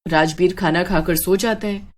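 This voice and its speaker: A woman reading scripted horror narration as a dramatic, measured storyteller. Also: on the low side, darkish tone.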